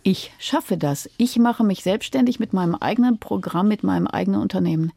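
A woman's voice: medium pitch, a little fast, gravelly, warm tone, slightly rough, dry recording, studio quality. A woman presenting a radio interview, asking questions and introducing her guest.

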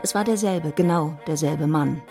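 A woman narrating a literary radio play in a composed, measured, scripted style. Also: sits low, mellow, faint music behind.

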